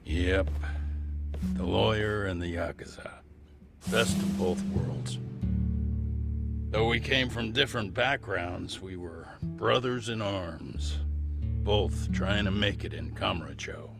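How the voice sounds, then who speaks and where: An actor playing a seasoned old American lawyer, blunt and nostalgic, in a video game dub. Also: male voice, low-pitched, takes it slowly, vocal fry, long pauses, loud music behind the voice, full character voice. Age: older.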